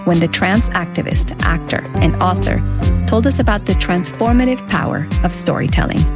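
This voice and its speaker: A woman radio host narrating a scripted news story in a measured, clear way. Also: fairly low pitch, loud music behind the voice.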